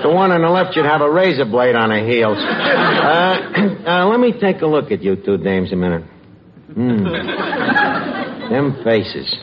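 An actor playing a wisecracking, slangy bartender in a 1940s radio comedy. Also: male voice, medium pitch, sing-song, measured pace, pauses often, a loud crowd. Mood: exasperated, wry. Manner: folksy, ungrammatical, wisecracking. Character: wisecracking, slangy male bartender running a tavern. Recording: muffled, very noisy vintage radio, audience.

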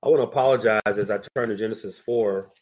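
A man preaching a sermon and reading scripture aloud, sounding congested and a little nasal from a sinus infection, speaking in a measured way and repeating key phrases. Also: lowish voice, darkish tone, dull audio.

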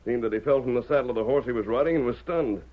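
A man's voice: medium pitch, racing, natural voice. An actor playing a resolute, fair-minded American hero in an old radio Western, earnest and formal in his diction. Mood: grave, determined. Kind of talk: 1940s Western radio drama acting. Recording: dull old radio recording.

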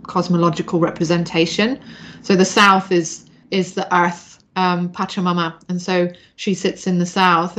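Dull-sounding reflective woman interviewee from Zambia. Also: lowish voice. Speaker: podcast guest, spiritual practitioner. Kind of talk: reflective interview talk about spiritual practice.

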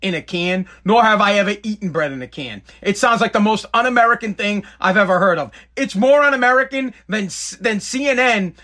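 High, bright-voiced ranting man. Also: a little fast, few pauses. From Boston area, New England. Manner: ranting, blunt, profane, repeats himself. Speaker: podcast host. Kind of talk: live-streamed podcast rant.

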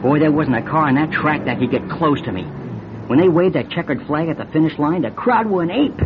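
A man's voice: brisk, lightly acted. A man playing a brash, friendly young race car driver with breezy, slangy talk in a classic radio drama. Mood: excited, boastful. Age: young adult. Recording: noisy muffled old radio recording. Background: bus engine rumble.

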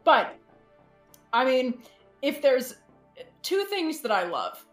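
A woman, a podcast guest, talking casually and frankly in halting, informal phrases. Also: high-pitched, very animated, echoey room, faint music behind. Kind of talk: casual chat.

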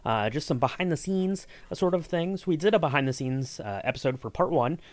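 A man's voice: on the high side, lively intonation, a little fast, no echo. A man hosting a podcast in a casual, enthusiastic interview chat. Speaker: podcast host.

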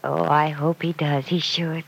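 An actress playing an earnest, self-doubting young woman in an old-time radio serial, confiding in a hesitant, soft-hearted way. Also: deep voice, flat delivery, unhurried, creaky, full character voice, poor audio. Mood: earnest, tender. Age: young adult.

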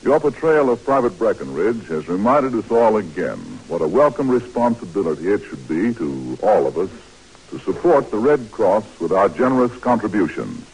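Animated 1940s American male radio announcer. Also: fairly low pitch, normal speed, breathy edge, rough recording. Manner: formal, declamatory. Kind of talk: 1940s radio announcing, scripted sponsor narration. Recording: vintage 1940s radio broadcast recording.

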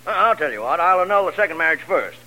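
A man's voice: a little high, sing-song, fast talker, sharp tone, exaggerated voice. An actor playing a flustered, cranky comic judge in a 1940s radio comedy, blustering and hemming as he rules. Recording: noisy vintage radio recording.

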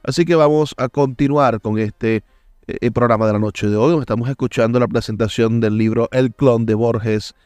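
A man with a smooth voice, presenting a podcast as its host. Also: mid-range voice, fairly even intonation, moderate pace, darkish tone, dry recording.

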